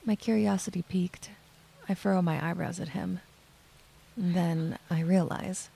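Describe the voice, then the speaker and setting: A woman acts out a lonely young woman narrating an audio drama in the first person. Her soft voice is heavy with fry and mellow in tone, with a husky, breathy edge and a hushed, introspective manner. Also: lowish voice, steady tone, a little slow, heavily acted. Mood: wondering, introspective. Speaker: narrator and lead character.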